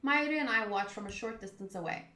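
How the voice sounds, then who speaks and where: A woman's voice: on the high side, fast, bright, forceful tone, a little hiss or hum. A chatty woman livestreaming a visual novel, reading its narration aloud and commenting casually in reaction to the game and viewers. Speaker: game streamer. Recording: roomy echo.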